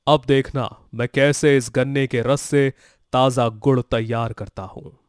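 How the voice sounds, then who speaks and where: A man's voice: mid-range voice, fairly even intonation, measured pace, smooth, no echo, clean studio sound, natural voice. A man voicing a magical talking sugarcane in a children's story, confident, boastful and reassuring. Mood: proud, showy. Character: magical talking sugarcane, helpful, boastful, kindly male creature. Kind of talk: dramatized Hindi moral story for children.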